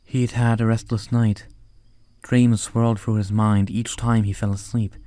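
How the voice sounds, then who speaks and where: A male narrator reads scripted storybook prose. The voice is fairly low, and the sound is dry with no room on it. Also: flat delivery, a little slow, warm tone, no echo.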